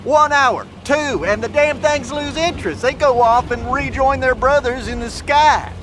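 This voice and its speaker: A man's voice: very high-pitched, normal speed. An actor playing a cocky, boastful middle-aged survivalist talking folksily to his own camera in an audio drama, swearing freely. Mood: cocky, excited. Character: cocky middle-aged male doomsday prepper driving an armored truck. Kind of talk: self-recorded video monologue in audio drama. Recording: noisy inside a moving vehicle. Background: vehicle engine and road noise.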